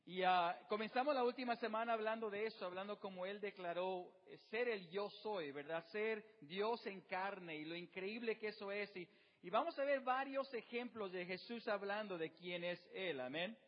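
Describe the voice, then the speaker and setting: A man's voice: high voice, normal speed, long reverb tail, dull audio. A man preaching a sermon as pastor, asking the congregation rhetorical questions and often using fillers.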